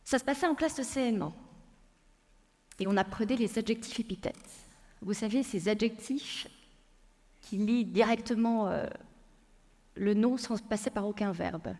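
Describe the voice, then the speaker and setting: A woman's voice: mid-range voice, darkish tone. Woman speaking in a reverberant hall. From France. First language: Persian. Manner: measured, storytelling delivery with frequent hesitations. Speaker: lawyer and speaker. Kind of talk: prepared public talk.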